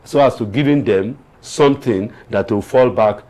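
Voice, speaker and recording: A man's voice: medium pitch, normal speed, dark tone. A man with a Nigerian accent giving earnest, moralising commentary as a guest lawyer on a TV panel, repeating points for emphasis. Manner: earnest, preachy, emphatic repetition.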